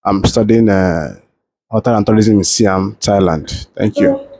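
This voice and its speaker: A man introducing himself in class, with a hesitant pause. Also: fairly low pitch, warm tone, slight background noise. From Nigeria. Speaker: student.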